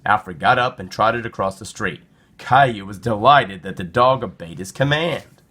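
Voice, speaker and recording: A man narrating a children's storybook in an expressive read-aloud style. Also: on the low side, moderate pace.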